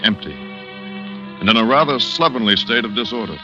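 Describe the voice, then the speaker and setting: An actor playing a cool, calculating schemer in a vintage radio suspense drama, speaking in a measured, clipped, deliberate way. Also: male voice, on the low side, expressive, natural voice. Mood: coolly calculating. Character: cool, calculating male schemer planning to impersonate his double. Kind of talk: 1940s-1950s radio drama acting, first-person suspense narration. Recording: dull vintage radio recording with music.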